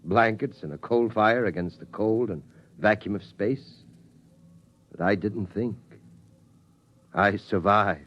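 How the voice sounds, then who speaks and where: A man playing a weary, steady father who tells his family how the world ended, in slow, solemn 1950s radio drama style. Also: on the low side, a little hiss or hum. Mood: somber. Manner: slow, solemn storytelling cadence. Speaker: father telling the family story. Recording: dull 1950s radio broadcast sound.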